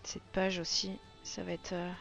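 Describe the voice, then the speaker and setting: A woman's voice: fairly low pitch, completely flat delivery, moderate pace, creaky, fairly bright tone. A woman giving casual, enthusiastic live commentary, exclaiming in awe as she reviews something. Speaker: host of a colouring book flip-through. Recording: muffled sound with music underneath. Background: music.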